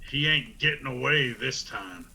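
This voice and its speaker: An actor playing a gloating, taunting mountain bully in a family feud, with an Appalachian accent, in a radio drama. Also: male voice, mid-range voice, animated, vocal fry, sharp tone, full character voice, low-quality recording. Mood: gloating, mocking. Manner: taunting, drawn-out.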